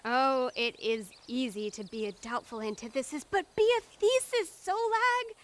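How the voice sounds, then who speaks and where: An actress playing a zealous, spacey cult recruiter in a video game cutscene, preachy, condescending and grandiose. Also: female voice, high-pitched, lively intonation, moderate pace. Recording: music under the voice.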